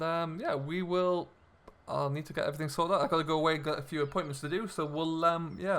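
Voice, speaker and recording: A man's voice: on the high side, fairly bright tone. A man hosting a gaming video, giving casual role-play commentary as he plays a farming simulator. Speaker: host of a gaming video series.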